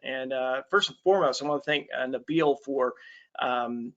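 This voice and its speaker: Bright-voiced middle-aged man from Alabama. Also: mid-range voice, moderate pace, highs cut off. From Alabama, USA. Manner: friendly, many fillers. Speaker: basketball coach presenting a webinar. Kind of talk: online presentation.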